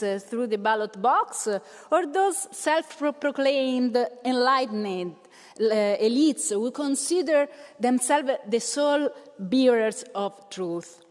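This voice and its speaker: A woman's voice: fairly high pitch, animated, takes it slowly, long reverb tail. A woman interpreting a political speech in a large chamber, with frequent "uh" fillers. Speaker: simultaneous interpreter in a parliamentary debate.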